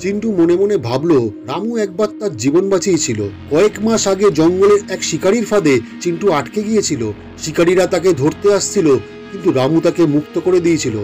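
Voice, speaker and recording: A man's voice: high-pitched, quick pace, mellow, soft background music. A man narrating a scripted children's folk tale.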